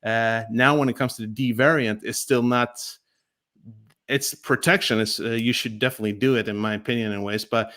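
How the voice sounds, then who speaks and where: Male co-host, mid-pitched, casual rambling explainer style. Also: steady tone, fairly quick, a bit bright. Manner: rambling, frequent "right?" tags. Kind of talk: casual investor livestream chat.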